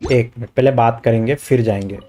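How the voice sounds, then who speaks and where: A man's voice: medium pitch, steady tone, racing, mellow. Indian man commentating on a game. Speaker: game streamer. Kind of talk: casual live game commentary. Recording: game music behind.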